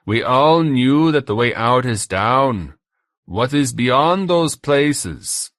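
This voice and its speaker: A man voicing a cruel, educated German interrogator from a novel, with a German accent and a slow, coldly commanding manner. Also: medium pitch, lively intonation, a bit bright, dry recording, clean studio sound, pitched above the performer's own voice. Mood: cold, calculating.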